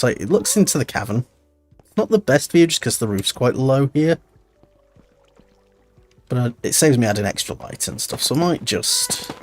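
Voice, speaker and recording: Streamer, male, tired and snuffly, lively up-and-down delivery, rambling self-talk over faint background music. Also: mid-range voice. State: tired and stuffy-nosed. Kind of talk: casual gameplay commentary.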